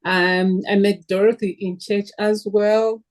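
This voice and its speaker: Woman from zambia, slow and friendly, mid pitch. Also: little pitch movement. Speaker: guest in a group talk. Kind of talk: casual introduction.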